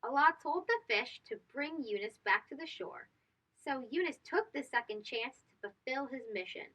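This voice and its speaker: Animated high-pitched woman reading to children. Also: normal speed, breathy edge, low-quality recording. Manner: animated, addressing young listeners directly. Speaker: host of children's read-aloud show. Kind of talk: expressive storybook narration for children.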